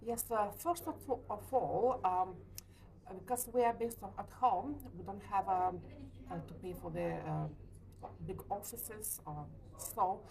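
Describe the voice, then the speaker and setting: A woman in her forties from Lithuania, speaking English with a Lithuanian accent, answering interview questions hesitantly with many pauses. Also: medium pitch, gravelly, a little mellow, low-quality recording. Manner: hesitant, frequent uh pauses, light laughs. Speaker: letting agency owner. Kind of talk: business interview. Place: hotel meeting room.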